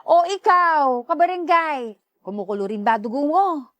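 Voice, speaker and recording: High-pitched Filipina announcer. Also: female voice, animated, unhurried, no echo. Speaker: channel announcer. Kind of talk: scripted promotional address to listeners.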